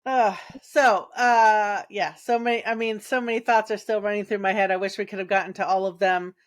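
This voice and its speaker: A woman's voice: mid-range voice, fairly even intonation, fairly quick. Frank woman podcast host. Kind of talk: casual podcast conversation.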